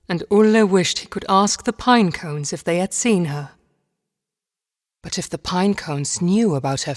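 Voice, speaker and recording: A woman narrating a dark fairy tale for a video game in a measured, solemn storytelling manner. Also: lowish voice. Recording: long reverberant hall-like echo.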